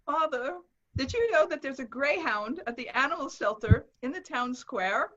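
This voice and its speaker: Sickly, hopeful small boy, played by a woman, high voice, gentle, dull lo-fi recording. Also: animated, normal speed. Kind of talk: dramatic table read. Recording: muffled video-call audio.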